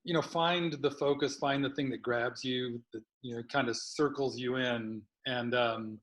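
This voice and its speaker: A man's voice: medium pitch, fairly even intonation, a little slow, fairly bright tone. Man panelist, hesitant and self-deprecating, casual delivery. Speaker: panelist, content strategist.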